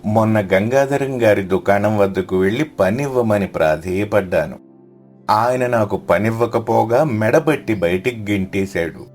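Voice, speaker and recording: A man's voice: fairly low pitch, unhurried, darkish tone, soft background music. A man voicing a poor, devout villager in a moral story, earnest and humble. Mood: troubled. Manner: earnest, pleading.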